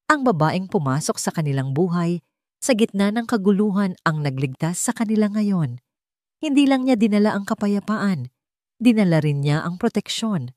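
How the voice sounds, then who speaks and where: A woman's voice: on the low side, a little mellow, dry recording, studio quality. A woman with a Filipino accent reading a scripted dramatic story as an audio narrator.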